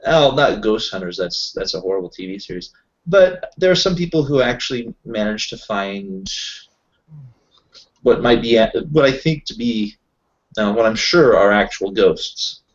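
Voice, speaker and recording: A man improvising in a tabletop roleplaying session, talking haltingly and often searching for his words. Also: fairly low pitch, lively intonation. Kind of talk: improvised in-character roleplay chat. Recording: dull lo-fi voice recording.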